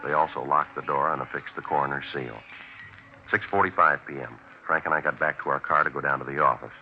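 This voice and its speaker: An American actor playing a stoic, businesslike police sergeant who narrates his case in clipped, deadpan 1950s radio drama style. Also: extremely low pitch, quick pace, faint music behind. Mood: matter-of-fact. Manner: deadpan, matter-of-fact. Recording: muffled, noisy 1950s radio recording.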